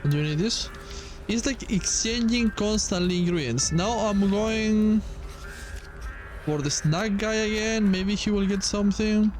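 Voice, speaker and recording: A man's voice: sits high, unhurried, soft background music. A man who is a native Spanish speaker, giving casual gameplay commentary as he plays, talking to himself with some exasperation and swearing. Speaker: gaming streamer playing a horror game demo.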